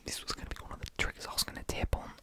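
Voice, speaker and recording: A young adult whispers a hesitant, self-deprecating ASMR ramble in a put-on Australian accent with a slight New Zealand tinge. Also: rapid-fire. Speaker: ASMR video creator.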